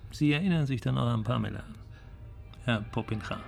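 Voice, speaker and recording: An actor playing a cynical male company boss in a radio drama, speaking with deliberate, mocking condescension. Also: mid-range voice, vocal fry, soft background music. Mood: bitter, sardonic. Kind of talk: German radio drama acting.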